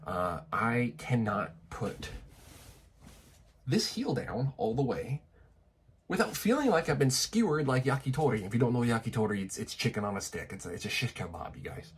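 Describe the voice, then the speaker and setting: A man's voice: mid-range voice, very animated, moderate pace, a little hiss or hum. A man vlogging candidly, a bit stuffy from a cold, talking frankly with lots of swearing and restarts. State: stuffy, getting over a cold. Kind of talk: candid personal vlog rant. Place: apartment in Tokyo.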